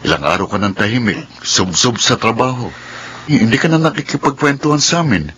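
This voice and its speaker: Man acting an earnest, bright-voiced, caring man. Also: mid-range voice, expressive, brisk, highs cut off, a little hiss or hum, natural voice. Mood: concerned, prodding. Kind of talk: radio drama acting.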